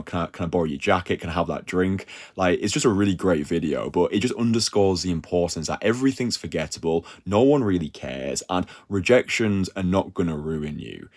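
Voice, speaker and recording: A young man giving dating advice in a casual, animated talk, peppering his speech with "like" and "yeah?". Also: sits low. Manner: animated, slangy, full of "like" and "yeah?" tags. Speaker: dating coach.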